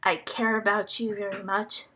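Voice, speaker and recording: A woman whose first language is Hungarian, speaking to the public in a slow, earnest monologue, halting and deliberate with many pauses. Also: mid-range voice, little pitch movement, sharp tone. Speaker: vlogger addressing the public.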